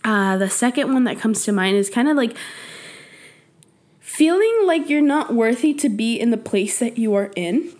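A woman's voice: a little high, animated, normal speed, a little mellow. Chatty young woman with Spanish roots. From Mexico. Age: young adult. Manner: chatty, laughing, many fillers. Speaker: podcast guest. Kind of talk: casual chat.

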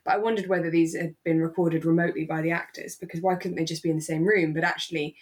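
Female podcast co-host, lower-register voice, chatty and conversational, relaxed discussion style. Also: fast. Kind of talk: relaxed discussion of a radio drama.

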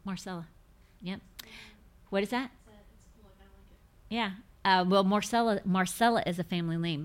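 A woman's voice: fairly low pitch, fairly bright tone, clean tone. A woman in her fifties hosting a faith podcast, chatting casually and emphatically as she answers listener questions, often repeating words to drive a point home. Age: 50s. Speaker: podcast host.

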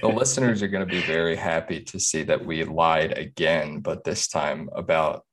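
A man's voice: extremely low pitch, fairly even intonation, moderate pace, rough recording. A man co-hosting a casual podcast chat with dry, sarcastic jokes. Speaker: podcast co-host.

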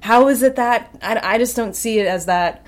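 A woman's voice: fairly high pitch, moderate pace. A woman in her thirties from Long Island, co-hosting a casual podcast chat, blunt and sarcastic with free swearing. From Long Island, New York. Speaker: podcast co-host.

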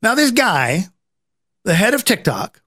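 A man hosting a casual political commentary chat, rambling and halting as he often repeats his phrases. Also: high-pitched, sing-song, pushed and bright, slightly rough, no echo, clean studio sound. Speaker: talk show host.

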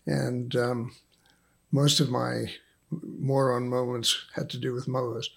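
An older man with a South African accent, a guest telling stories in an unhurried, dry, self-mocking way. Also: medium pitch, little pitch movement, gravelly, a bit bright. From Zimbabwe and South Africa. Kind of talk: casual interview.

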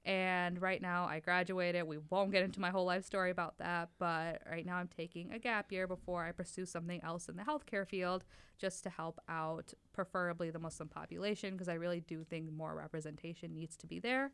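A young woman from Wisconsin talks openly as a podcast guest, in a very smooth, clear voice that sits a little low. Her words come in long, flowing stretches, and the sound is dry and close. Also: steady tone, brisk, fairly bright tone, dry recording. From Wisconsin, United States. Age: 20s. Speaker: podcast guest, lab tech. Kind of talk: casual podcast conversation.

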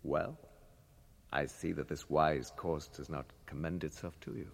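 An actor playing a proud, scheming old wizard in a radio drama, with grandiose and scornful theatrical delivery. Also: male voice, a bass voice, steady tone, a little slow, gravelly, heavily acted. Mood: persuasive, scheming. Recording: reverberant chamber radio drama sound.

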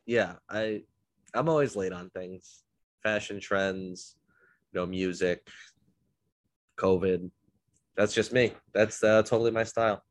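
A man's voice: lowish voice, steady tone, slow, long pauses, no echo. A man hosting a podcast, a bit congested from illness, telling rambling self-deprecating stories in a casual chat. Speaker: podcast host.

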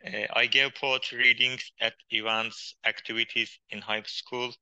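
A man from Turkey's far east, with as his in English, answering interview questions in a halting way with many pauses and fillers. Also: medium pitch, level tone, pushed and bright, clean tone, no room sound. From Hakkari region of Turkey, Kurdish. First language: Kurdish. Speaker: guest, poet and journalist. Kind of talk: interview conversation.

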